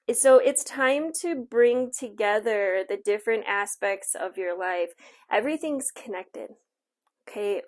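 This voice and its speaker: Woman giving a casual oracle card reading. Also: mid-range voice. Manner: conversational, reassuring asides, frequently checks in with "okay". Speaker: tarot and astrology reader, show host.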